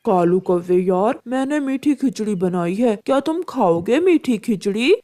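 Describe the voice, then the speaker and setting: A woman voicing a clever, smooth-talking male pigeon in a children's cartoon story, with a coaxing, deliberate delivery. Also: mid-range voice, expressive, dark tone, natural voice. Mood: sly, coaxing. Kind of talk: animated children's moral story voice acting.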